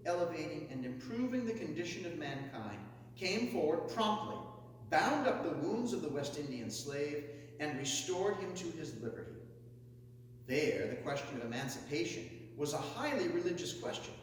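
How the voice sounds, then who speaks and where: A man reading a historic speech aloud as a declamatory oration, building each list to forceful, emphatic peaks. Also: on the high side, lively intonation, normal speed. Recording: echoey hall, mic far off, faint hum.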